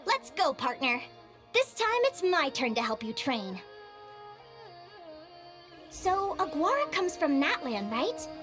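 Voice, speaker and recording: Perky, high-voiced young adventurer woman. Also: slow pace, pauses often, faint music behind, full character voice. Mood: eager. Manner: perky, encouraging, expressive. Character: cheerful, encouraging young woman adventurer training animal companions. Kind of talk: animated video game voice acting.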